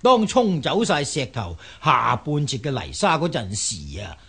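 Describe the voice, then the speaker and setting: A folksy river know-all in a radio drama. His husky voice swings widely in pitch and takes its time as he explains things with amused confidence and plenty of interjections. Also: huge pitch swings, a little slow, pushed and bright, heavily acted. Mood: confident explaining. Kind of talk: Cantonese radio drama acting.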